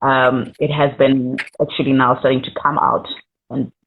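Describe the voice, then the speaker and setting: A woman's voice: rumbling low, normal speed, vocal fry, phone-quality sound, a little hiss or hum. Chatty South African woman singer. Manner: chatty, laughs easily, relaxed storytelling. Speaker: guest singer. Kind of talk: casual interview chat.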